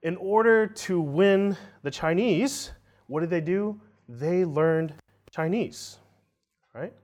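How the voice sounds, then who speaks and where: Man, 30s, preacher, slightly high voice, conversational sermon, repeats points. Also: slow pace, darkish tone. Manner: conversational, often tags lines with "right?"; restarts and repeats phrases for emphasis.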